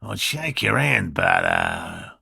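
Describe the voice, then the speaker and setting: A man playing an earnest, fussy cryptozoologist in a video game, a proud outsider scientist with a gravelly, husky voice and precise, bookish phrasing. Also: sits low, huge pitch swings, takes it slowly, sharp tone, no echo, studio quality, exaggerated voice. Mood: earnest, matter-of-fact. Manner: precise, bookish, formal wording. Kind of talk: video game dialogue acting.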